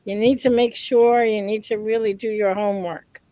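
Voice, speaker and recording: A woman's voice: medium pitch, level tone, normal speed, warm tone, dry recording. A woman co-hosting a casual online panel, enthusiastic as she reads out viewer comments. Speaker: webinar co-host.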